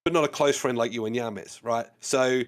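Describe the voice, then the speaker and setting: Chatty Australian man, mid pitch. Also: brisk. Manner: chatty, explains with many "you know"s. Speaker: podcast co-host. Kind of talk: casual chat.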